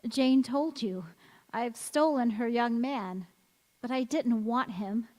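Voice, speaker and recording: Woman playing slow-spoken, wistful young woman, echoey hall. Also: on the high side, darkish tone. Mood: frank. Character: strange, wistful, self-possessed young woman. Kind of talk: stage radio drama acting.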